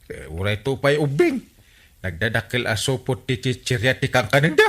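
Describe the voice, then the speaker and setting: Village captain: lively swings, middle pitch, curious, questioning, comic radio drama authority. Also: male voice. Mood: reasoning. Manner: questioning, drawn-out interjections. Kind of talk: radio comedy drama acting.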